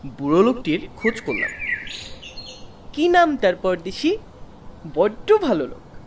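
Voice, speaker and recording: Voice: medium pitch, wildly swooping pitch, measured pace, distant mic, background noise. A narrator telling a first-person story in a dramatic, scripted audiobook style. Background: background music.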